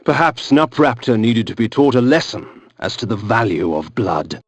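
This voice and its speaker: An actor voicing a cynical, aristocratic vampire antihero, narrating a dark video game with eloquent, theatrical disdain. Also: male voice, fairly low pitch, sing-song, moderate pace, husky, no room sound. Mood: cynical, brooding. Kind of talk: dramatic scripted game narration.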